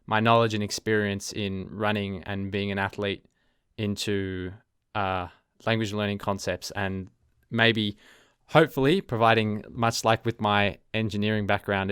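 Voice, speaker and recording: A young man with an Australian accent talks through a solo podcast in a relaxed, reflective way, stopping to rephrase and filling gaps with ums. His voice sits fairly low and comes across smooth and resonant. The sound is close and dry, with no room echo. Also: moderate pace. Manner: thoughtful, self-correcting, frequent ums. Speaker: podcast host. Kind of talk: casual solo podcast talk.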